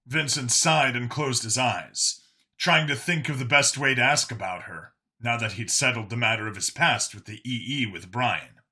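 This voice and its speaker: Very bright, forceful male story narrator. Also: mid-range voice, moderate pace. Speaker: audiobook narrator. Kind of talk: dramatic scripted story narration.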